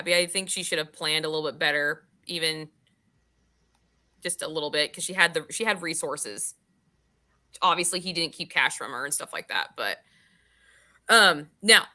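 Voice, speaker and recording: A woman with a forceful, bright voice talks casually and with strong opinions about books. She swears easily and piles up exasperated rhetorical questions in an informal podcast style. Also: fairly low pitch. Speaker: book club podcast host. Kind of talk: casual opinionated book discussion.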